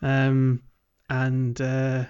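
A man's voice: mid-range voice, monotone, drawn-out words, a little mellow, clear, resonant tone, highs cut off. A man co-hosting a casual gaming podcast with a jokey manner. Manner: jokey, laughs often. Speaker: podcast co-host.

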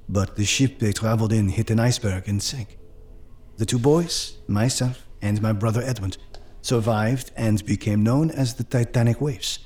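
A man's voice: mid-range voice, darkish tone, natural voice. An actor playing a reserved, courteous Frenchman in his eighties, a former professor, in an audio drama. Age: 80s.

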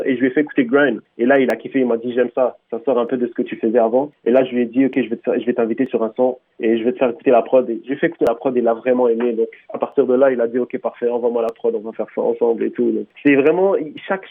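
Fast-talking rapper over a phone line. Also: male voice, mid-range voice. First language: Tunisian Arabic. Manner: rambling, full of restarts and fillers. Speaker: rapper, interview guest. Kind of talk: radio interview answers.

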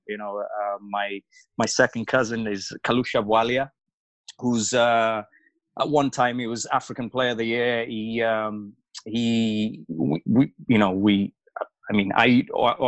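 Man in his 40s, a former pro footballer guest, low voice, dry close sound, rambling delivery with lots of fillers. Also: no room sound, low-quality recording. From Zambia and Wales. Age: early 40s. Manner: rambling, many fillers and restarts. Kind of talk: casual reflective interview talk.

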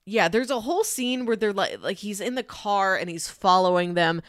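A woman's voice: medium pitch, normal speed, no room sound, clean studio sound. A woman talking casually and analytically as a podcast co-host discussing a film.

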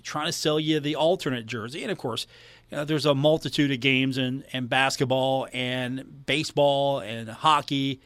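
A man's voice: fairly high pitch, a bit bright, dry recording. An American man talking as a sports radio host, delivering commentary and announcements into a close studio microphone. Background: music.

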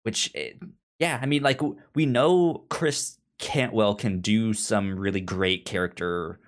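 A man co-hosting a podcast, discussing comics casually, haltingly, often repeating and stuttering over words as he goes. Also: on the low side. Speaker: podcast co-host.

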